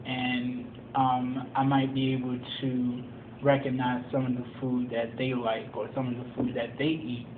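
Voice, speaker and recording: A young man from Tanzania, a college student, answering interview questions in a measured, explanatory way with many pauses and ums. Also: mid-range voice, completely flat delivery. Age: young adult. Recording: echoey room over a tinny phone-like line with background noise.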